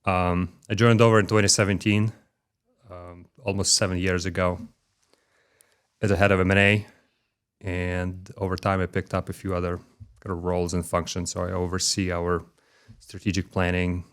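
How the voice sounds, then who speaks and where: A middle-aged man from Ukraine with a Ukrainian accent, speaking as an interview guest in a measured way with frequent pauses and fillers, recorded in a corporate headquarters office. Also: sits low, level tone, fairly bright tone. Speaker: guest, corporate development executive. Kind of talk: conversational interview.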